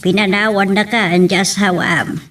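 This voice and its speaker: A woman's voice: medium pitch, steady tone, normal speed, close to an everyday voice. A woman acting a disapproving, nagging mother of a grown son in an audio drama, with an Ilocano Filipino accent. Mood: grumbling. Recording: distant mic with faint noise.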